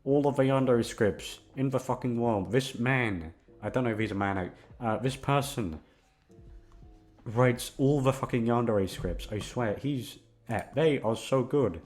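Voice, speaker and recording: A man giving a casual, rambling outro to his listeners, goofy and awkward, swearing and jumping into silly high tones. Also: a little slow, darkish tone, soft background music. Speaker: audio roleplay performer.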